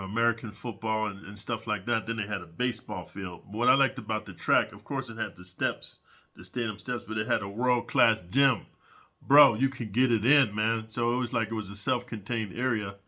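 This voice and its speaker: A man's voice: lowish voice, sharp tone. A middle-aged man telling prison stories in a rambling, casual monologue, sniffling from allergies and dropping "man" and "dude" all the time. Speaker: prison storyteller.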